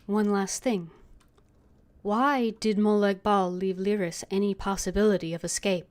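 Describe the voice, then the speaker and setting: A woman's voice: medium pitch, steady tone, measured pace, a little mellow. A woman game streamer, reading character dialogue aloud as she plays.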